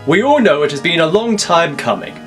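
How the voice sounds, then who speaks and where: A man's voice: a little high, very animated, brisk, a bit bright, soft background music, voice pushed up. A man voicing an elderly wedding officiant in a formal, ceremonial manner.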